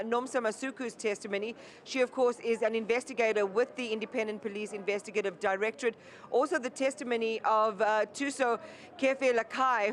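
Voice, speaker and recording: Anchorwoman, slightly high voice, formal and articulate. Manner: formal and articulate, with frequent fillers. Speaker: news anchor. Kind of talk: live news interview.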